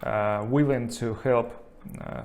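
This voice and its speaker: A man's voice: mid-range voice, steady tone, slow pace, darkish tone, slight background noise. A man interviewing a guest, not a native English speaker, searching for words with many fillers. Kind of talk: interview questions.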